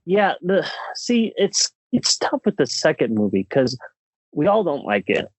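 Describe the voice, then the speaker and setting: A man's voice: fairly high pitch, very animated, unhurried, no room sound. A man co-hosting a casual film discussion podcast, speaking in an opinionated, emphatic way. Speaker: podcast co-host.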